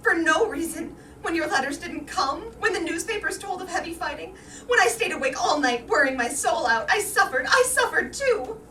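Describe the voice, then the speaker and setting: A woman's voice: extremely high pitch, lively intonation, normal speed, pushed and bright, heavily acted. A woman playing a vain, anxious aging wife in staged reader's theater, dramatic and emphatic. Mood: anguished. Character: vain, anxious aging wife awaiting her soldier husband. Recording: roomy, distant mic, faint noise.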